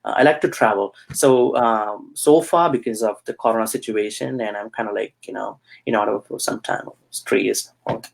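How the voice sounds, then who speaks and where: Gravelly hesitant Sri Lankan-born monk. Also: male voice, on the low side, fairly quick, few pauses, low-quality recording. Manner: hesitant, many fillers. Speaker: Buddhist monk. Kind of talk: casual interview answers.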